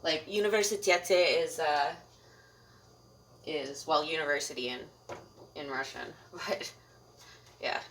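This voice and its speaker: A woman's voice: sits low, fairly even intonation, slow, pauses often, fairly bright tone, slight background noise. A young Canadian woman from Ontario chatting casually on a live cooking stream at home, rambling with fillers and laughing often. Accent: Canadian English. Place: home kitchen.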